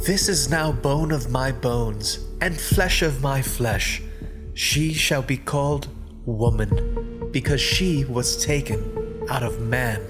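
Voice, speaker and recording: A man's voice: mid-range voice, takes it slowly, close to an everyday voice. A man playing an earnest first man. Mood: tender. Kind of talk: dramatized scripture audio drama. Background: background music.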